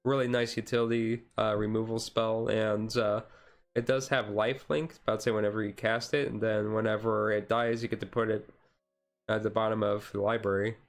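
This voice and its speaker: A man streaming a casual ASMR-style card showcase, easygoing and halting as he reads out cards, his voice a little rough and taken gently. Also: fairly low pitch, flat delivery. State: voice slightly rough, being taken easy.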